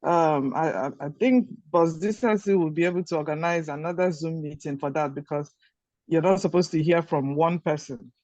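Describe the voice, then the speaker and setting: A woman's voice: sits low, normal speed, a little mellow, no echo, dull audio. A woman co-hosting a video call, relaying audience questions in a hesitant way that repeats words.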